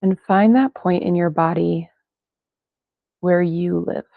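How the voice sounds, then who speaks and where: A thin, phone-like recording of a woman guiding a meditation. Her voice is slightly low and stays very even. She speaks gently in unhurried phrases, leaving long pauses. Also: gravelly, dry recording. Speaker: meditation guide. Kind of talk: guided meditation. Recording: band-limited, phone-like sound, dry.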